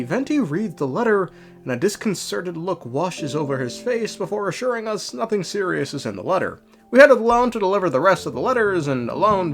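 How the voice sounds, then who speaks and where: A man's voice: fairly high pitch, a bit bright. A man giving a casual, irreverent game story recap with sweary, sardonic asides.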